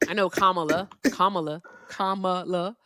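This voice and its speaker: A woman's voice: fairly low pitch, fairly even intonation, normal speed, crowd noise. A woman hosting a live call-in debate stream, blunt and mocking as she talks over her caller. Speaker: host.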